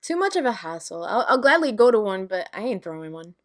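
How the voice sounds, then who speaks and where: A woman's voice: medium pitch, very animated, a little fast, close to an everyday voice. A woman playing a brash, sarcastic, carefree young party lover in an audio drama, with casual, salty, sardonic delivery. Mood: breezy, playful.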